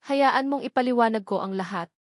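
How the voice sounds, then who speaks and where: A woman's voice: on the high side, brisk, no echo, studio quality, pitched above the performer's own voice, natural voice. A woman voicing an agitated, pleading, protective male schoolteacher in an audio drama. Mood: desperate pleading. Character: anguished male schoolteacher hiding secrets, protective, desperate.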